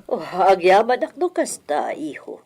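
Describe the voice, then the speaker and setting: An actress playing an elderly, dignified hacienda matriarch in a Filipino radio comedy-drama, wistful and solemn, with a Filipino accent. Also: female voice, lowish voice, very animated, unhurried, darkish tone, husky, full character voice. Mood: gracious. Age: older.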